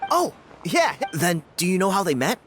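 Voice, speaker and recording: A woman's voice: on the low side, big pitch swings, moderate pace. A woman playing a chatty, easygoing local tribe member in a video game, conversational and a little teasing. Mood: chatty, amused. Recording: game music behind the voice.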